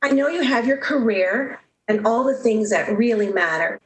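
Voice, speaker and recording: A woman's voice: a little high, animated, normal speed, lightly acted. A woman playing a proud, lovestruck young woman in an emotive, melodramatic 1930s-style radio drama. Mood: hurt, proud. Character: lovestruck, proud young switchboard operator, heartbroken. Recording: roomy echo, background noise.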